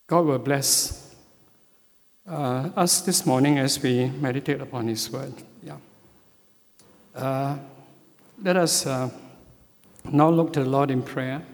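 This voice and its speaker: Church elder, male, preaching a sermon; slow and measured delivery, reverberant sanctuary. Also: mid-range voice. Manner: slow, measured, with frequent hesitations. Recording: long hall reverb.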